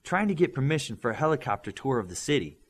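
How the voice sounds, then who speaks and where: A man's voice: mid-range voice, sing-song, quick pace, slightly rough, voice pushed up. A man reading an audiobook, voicing an eager young man showing friends his city.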